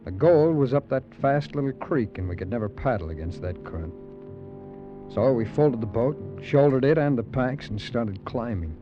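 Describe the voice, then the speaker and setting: A man's voice: medium pitch, lively intonation, normal speed, natural voice. An actor playing a tough, wisecracking adventurer who narrates his own 1940s radio drama in a dry, sardonic, hard-boiled manner. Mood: narrating. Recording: dull, lo-fi old radio broadcast with music.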